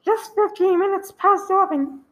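A woman's voice: extremely high pitch, moderate pace, a little hiss or hum. A woman playing a polite, businesslike hospital receptionist in a radio play, speaking formally and a little hesitantly. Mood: polite, unruffled. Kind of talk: live radio play acting.

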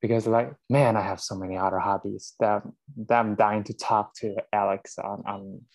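A man's voice: on the low side, fairly even intonation, normal speed, darkish tone. A man guesting on a podcast over a remote call, talking hesitantly with many fillers through earphones with a built-in microphone. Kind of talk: casual interview chat.

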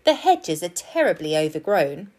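A woman voicing a kindly, patient male park keeper in a children's story, explaining things gently. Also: fairly low pitch, sing-song, normal speed.

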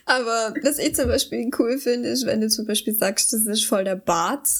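A woman's voice: fairly high pitch, steady tone, moderate pace, breathy edge. A young woman, chatting casually as co-host of a podcast. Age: young adult. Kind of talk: casual chat.

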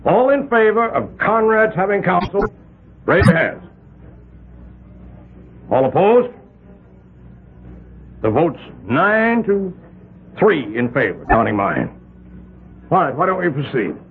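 A man's voice: sits high, very animated, slow, pauses often, slight background noise, full character voice. An actor playing a wealthy older island patriarch in a 1970s radio drama, rambling and stammering through his excuses. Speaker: island community leader. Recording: tinny lo-fi 1970s radio broadcast.

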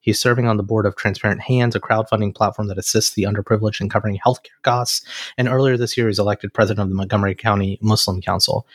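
A man co-hosting a podcast, introducing a guest and asking questions with earnest, animated emphasis. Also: fairly low pitch, fast talker, dry recording. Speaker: podcast co-host.

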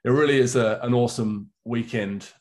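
A man's voice: mid-range voice, brisk, darkish tone. A middle-aged man raised in England, talking eagerly as a guest in a casual chat. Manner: chatty, enthusiastic storytelling.

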